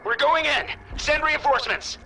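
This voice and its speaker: A man's voice: very high voice, normal speed, recorded from a distance, a little hiss or hum, heavily acted, rough recording. A man playing an urgent marine calling for help over military radio in a video game. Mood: alert. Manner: strained, urgent shouting. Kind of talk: scripted game voice acting.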